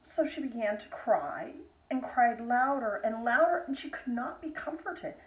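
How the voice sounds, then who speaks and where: A woman storyteller reads a fairytale slowly, with dramatic pauses. Her voice is fairly high and very animated in pitch. It is recorded as a bedtime read-aloud. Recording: roomy echo, distant microphone.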